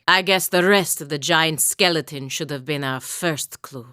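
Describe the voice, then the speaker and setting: Low-voiced, proud warrior woman. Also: fairly bright tone, clean tone, no room sound, studio quality. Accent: Valian, a lightly Italian-sounding fantasy accent. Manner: formal, measured, wry. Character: proud, stern, dutiful female warrior in service to dukes. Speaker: video game voice actor. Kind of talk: scripted game dialogue, voice lines and barks.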